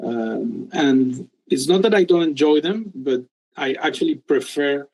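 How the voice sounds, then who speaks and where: A middle-aged man from Peru, a guest telling his career story in an interview, with many pauses and restarts. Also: fairly high pitch, darkish tone, husky, rough recording. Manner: hesitant, many ums and restarts. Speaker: guest entrepreneur. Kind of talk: casual interview talk.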